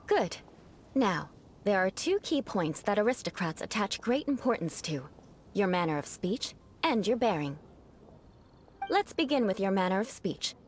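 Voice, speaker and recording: An actress playing a proud young aristocrat in a video game, haughty and formal, with precise wording. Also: female voice, medium pitch, sing-song, moderate pace, slight background noise, natural voice. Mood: composed, haughty. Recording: game music behind the voice. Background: music.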